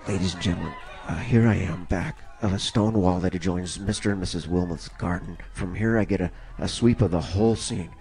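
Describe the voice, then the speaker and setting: A man's voice: low-pitched, darkish tone. An actor playing a panicked field reporter in a 1930s radio drama, breathless and stammering as he describes an alien attack. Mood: tense, hushed. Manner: breathless, halting, stammering delivery.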